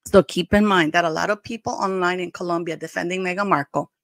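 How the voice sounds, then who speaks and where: A woman's voice: fairly low pitch, steady tone, fast talker, dry recording, clean studio sound. A woman with a slight Spanish accent, hosting opinionated casual commentary in an emphatic, indignant way, often repeating words for stress. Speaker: commentary channel host.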